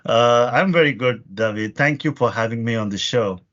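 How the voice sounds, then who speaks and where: A man's voice: medium pitch, moderate pace, fairly bright tone. A man in his forties, a podcast guest, talking earnestly about his career in long runs full of fillers. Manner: earnest, talks in long lists with many fillers. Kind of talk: conversational interview answers. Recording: muffled lo-fi audio.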